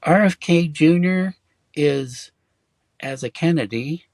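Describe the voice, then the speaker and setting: Halting, hesitant man in dry audio. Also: a little high, a little mellow, no room sound. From Germany. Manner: hesitant, halting with many fillers and restarts. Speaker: interview guest. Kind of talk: casual conversation.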